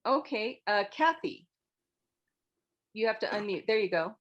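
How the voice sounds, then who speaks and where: A woman's voice: medium pitch, lively intonation, a little slow, lo-fi sound. A woman moderating a casual group discussion on a video call, keeping people in turn with a directive but reassuring manner. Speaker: moderator of a script critique session.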